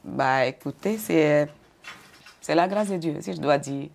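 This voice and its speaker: Low-voiced older woman from Côte d'Ivoire. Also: gravelly, a little mellow. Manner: measured, repeats words, brief laughs. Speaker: interview guest. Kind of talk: interview answers.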